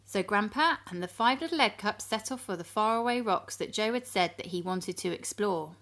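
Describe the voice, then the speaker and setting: A woman reading a storybook to young children in an animated, expressive manner, addressing her listeners directly. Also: medium pitch, moderate pace.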